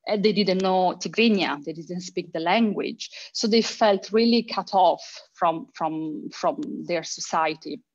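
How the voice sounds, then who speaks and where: Low-voiced woman on a video call. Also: unhurried, few pauses. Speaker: academic researcher, panel speaker. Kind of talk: explanatory panel talk. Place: indoor room, video call. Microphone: headset on a video call. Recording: dull, lo-fi video call audio.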